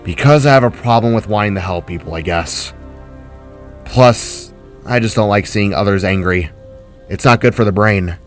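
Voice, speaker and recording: A man voicing an earnest, caring male spark, a desperate father in a fantasy story, with a halting, gentle delivery. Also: on the low side, soft background music, lightly acted.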